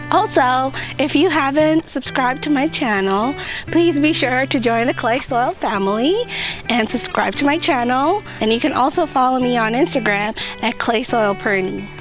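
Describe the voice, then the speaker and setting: Slightly hoarse woman, upbeat gardening vlogger. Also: sits high, poor audio. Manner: upbeat, chatty, walks through each step. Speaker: gardening channel host. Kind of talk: casual how-to chat to camera. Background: background music.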